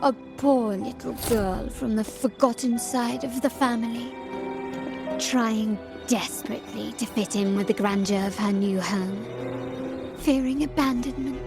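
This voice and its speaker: Slow, mocking female doppelganger. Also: a little high. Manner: mocking, theatrical, slow and teasing. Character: taunting female doppelganger born of a noblewoman's memories. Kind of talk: video game voice acting.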